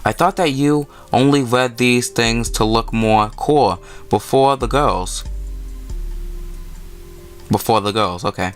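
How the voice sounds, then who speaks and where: A man's voice: mid-range voice, slow pace, long pauses, soft background music. A man hosting a gaming video, reading a visual novel aloud and adding casual, informal asides.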